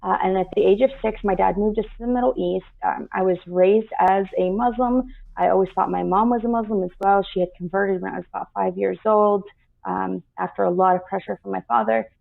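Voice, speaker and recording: An earnest woman from the United States tells her own story as an interview guest. She speaks steadily with frequent pauses and has a slightly gravelly edge. The sound is thin and phone-like from a video call. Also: medium pitch, fairly even intonation. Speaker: guest activist. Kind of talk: personal account in an interview. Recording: tinny phone-like line.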